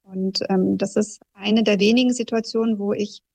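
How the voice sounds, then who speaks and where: A woman in her thirties, a journalist from Kazakhstan who grew up in southwest Germany, giving a reflective, measured personal account in an interview. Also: mid-range voice, steady tone, creaky, a little mellow. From Kazakhstan, raised in southwest Germany.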